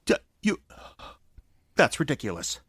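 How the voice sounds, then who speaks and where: A man's voice: medium pitch, huge pitch swings, measured pace, a bit bright, husky, full character voice. An actor playing a bitter, blustering 1920s husband and failing businessman in an audio drama, indignant in manner. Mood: bitter, exasperated.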